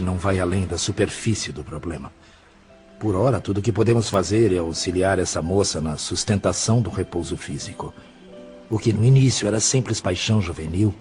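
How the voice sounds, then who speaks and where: A man's voice: low-pitched, animated, darkish tone, faint music behind. A man playing a compassionate, grave spirit mentor in an audio drama, speaking solemnly and formally. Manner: solemn, measured, formal diagnosis-like explaining.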